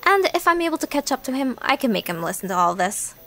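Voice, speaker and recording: A woman's voice: high-pitched, sing-song, fast talker, sharp tone, exaggerated voice. A woman playing an exasperated, fretful sister in an audio drama monologue. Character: exasperated, fretful sister leaving a recorded message. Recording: music under the voice.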